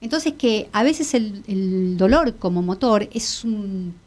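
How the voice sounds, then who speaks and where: A woman with a Rioplatense Spanish accent, co-hosting a live-streamed interview, chatty and quick to cut in. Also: medium pitch, a little mellow. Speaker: co-host.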